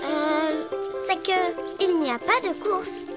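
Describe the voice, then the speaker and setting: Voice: very high voice, takes it slowly. An actor voicing a tiny, sweet, curious female ladybug child in a preschool cartoon, full of questions and exclamations. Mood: hesitant. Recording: children's music behind the voice.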